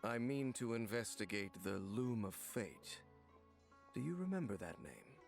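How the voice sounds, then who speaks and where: An actor playing a grave, guarded warrior of an ancient realm in an anime-style game, speaking formally and sternly. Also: male voice, medium pitch, sing-song, unhurried, gravelly. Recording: faint game music under the voice.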